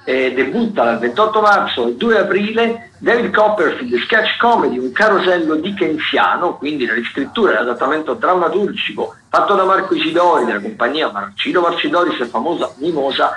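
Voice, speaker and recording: A man hosting a radio show, talking semi-improvised through event listings, often halting to search for words and doubling back. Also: a little high, lively intonation, bright, forceful tone, roomy sound, rough recording. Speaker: radio host presenting theatre listings.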